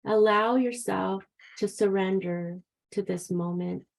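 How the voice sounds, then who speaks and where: A woman leading a guided meditation in a soft, soothing, unhurried way. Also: mid-range voice, steady tone, creaky, low-quality recording.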